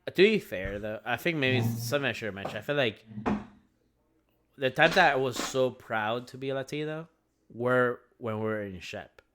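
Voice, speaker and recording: A young man from Venezuela co-hosting a podcast, chatting casually and reflectively with frequent pauses and restarts. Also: medium pitch, expressive, fairly bright tone. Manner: hesitant, restarts often, reflective. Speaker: podcast co-host. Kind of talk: casual chat.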